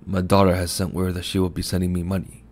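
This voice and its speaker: A man's voice: sits low, completely flat delivery, fast talker, darkish tone, slight background noise, close to an everyday voice. A man voicing a poor, weary village man of about fifty who speaks meekly and pleads. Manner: meek, pleading.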